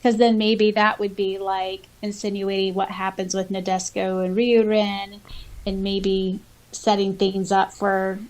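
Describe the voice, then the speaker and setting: A woman's voice: medium pitch, level tone, measured pace, darkish tone, poor audio. Chatty, enthusiastic woman co-hosting a podcast. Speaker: podcast co-host and audiobook voice actor. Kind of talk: casual podcast chat, reading out listener comments.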